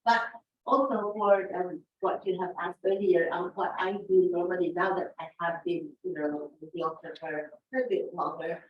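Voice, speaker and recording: A woman's voice: lowish voice, steady tone, normal speed, muffled recording. A woman whose first language is Tagalog, eagerly sharing her experience in a class discussion. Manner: eager, loosely phrased. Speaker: student agent. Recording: distant echoey classroom with faint noise.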